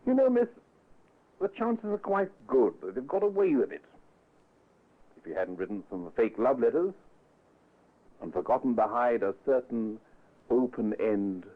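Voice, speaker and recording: A man playing a calm, firm police inspector with a British accent and a clipped, polite, authoritative manner in a vintage radio drama. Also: mid-range voice, unhurried, long pauses. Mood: dry sympathy. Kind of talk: 1950s radio drama acting. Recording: thin, phone-like vintage radio recording.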